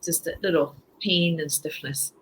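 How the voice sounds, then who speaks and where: Japanese-accented woman in her fifties, low voice, crisp bright tone, halting with fillers, casual interview guest. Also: fairly even intonation, a little hiss or hum, low-quality recording. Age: 50s. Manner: halting, frequent fillers and restarts.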